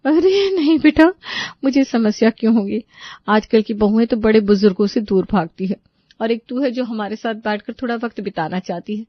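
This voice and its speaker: A woman's voice: fairly high pitch, no room sound, dull audio, natural voice. A woman voicing a gossipy, dramatic older mother-in-law type in an acted audio story. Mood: friendly, curious. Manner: complaining, dramatic. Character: exasperated, gossipy older Indian mother-in-law and her aunty friend.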